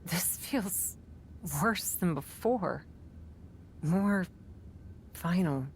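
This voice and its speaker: An actress playing a determined, loyal wrestler in a video game cutscene, earnest and conversational. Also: female voice, on the low side, animated, extremely slow, slight background noise, full character voice. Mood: sad. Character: determined, sincere woman wrestler, loyal friend.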